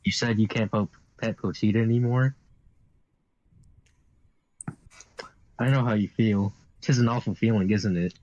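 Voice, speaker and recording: A man's voice: lowish voice, steady tone, a little fast, darkish tone, lo-fi sound, natural voice. A man voicing a brash, bloodthirsty devil girl who dotes on cats, theatrical and gloating, in an anime fan dub.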